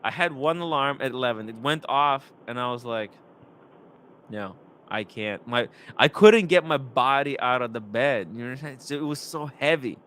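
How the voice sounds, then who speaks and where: A young man hosting a live stream, rambling and animated, reading chat comments aloud. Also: mid-range voice, normal speed.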